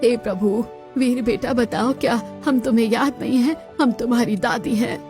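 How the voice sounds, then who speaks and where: A woman playing an imperious old grandmother of a rich family in a dramatized moral story, speaking solemnly and deliberately. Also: on the high side, steady tone, a little mellow, faint music behind, natural voice. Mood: distressed.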